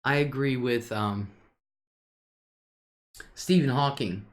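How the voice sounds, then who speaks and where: An older woman hosting her own live stream, talking in an unhurried, drawn-out way. Her voice is a deep alto, and she chats loosely with her viewers, answers their comments and laughs between thoughts. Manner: chatty, laughs often between remarks.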